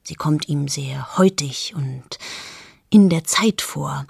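An actress playing a cool, self-absorbed journalist in her late thirties in an intimate radio play monologue, speaking in a hushed, deliberate way that often drops towards a whisper. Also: deep voice, gravelly, breathy edge, no room sound, clean studio sound, exaggerated voice. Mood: wry, confiding. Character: 38-year-old female journalist, cool, amoral, confessional and self-absorbed.

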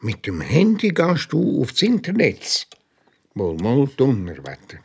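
A man's voice: mid-range voice, wildly swooping pitch, slow pace, creaky, breathy edge, exaggerated voice. A man playing an older, grandfatherly amateur detective, thoughtful and easily amazed, in a children's radio play. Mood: surprised.

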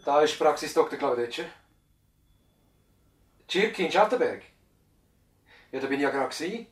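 Actor as matter-of-fact Swiss German country doctor. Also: male voice, a little high, animated, normal speed, a little hiss or hum, close to an everyday voice. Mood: brisk, urgent. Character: earnest male doctor standing in at a village practice. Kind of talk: radio play acting, dialogue and dictation. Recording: 1970s radio drama recording.

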